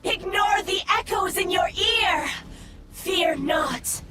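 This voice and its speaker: A woman's voice: very high-pitched, slow. A woman playing a grandiose, defiant psionic villain in a video game, proclaiming theatrically in archaic phrases. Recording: noisy, with loud ambient effects.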